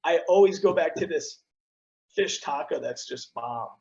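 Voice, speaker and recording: Lively high-voiced man on a call. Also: unhurried, dull audio. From US East Coast. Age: 30s. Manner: animated storyteller, lots of fillers and slang. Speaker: TV news broadcaster and guest. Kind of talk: casual interview chat. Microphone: video call.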